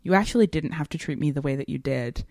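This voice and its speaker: A woman co-hosting a podcast, talking through a story in a casual, animated and emphatic way. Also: deep voice, fast, no echo, clean studio sound. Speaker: podcast co-host. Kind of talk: casual literary discussion chat.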